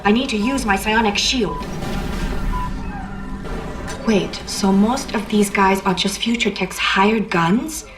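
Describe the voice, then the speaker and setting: A woman's voice: mid-range voice, slow pace, pauses often, far from the mic, lightly acted. Actress as a brooding young psychic woman, music behind. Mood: cool, resentful. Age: young adult. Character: angry young psychic woman escaping captivity. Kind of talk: scripted video game voice acting. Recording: game audio under prominent music.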